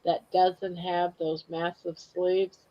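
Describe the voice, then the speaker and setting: A woman's voice: lowish voice, level tone, slow, dark tone. A woman chatting casually on a livestream, heard through dull audio. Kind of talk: casual live chat.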